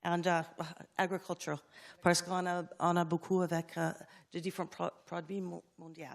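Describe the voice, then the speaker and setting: Panelist, a woman with a lowish voice who mixes into hesitant French. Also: level tone, gravelly. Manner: halting French mixed with English words. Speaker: conference panelist. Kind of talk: conference panel talk.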